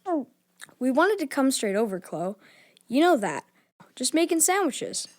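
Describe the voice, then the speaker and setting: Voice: high voice, big pitch swings, unhurried. An actor voicing a cheeky, impulsive boy who loves food, with a playful, theatrical way of talking in a children's audio drama. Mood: easygoing.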